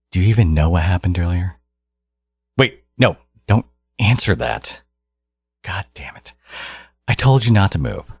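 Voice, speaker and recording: Man acting a very deep, gravelly supervillain. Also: lively intonation, a little slow, pauses often, darkish tone, no echo, exaggerated voice. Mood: stern, irritated. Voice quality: gravelly, husky, low. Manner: condescending, menacing, theatrical, slipping into whispers. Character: menacing, obsessive genius supervillain, exasperated yet caring archnemesis. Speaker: voice actor in roleplay audio. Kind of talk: dramatic second-person audio roleplay monologue.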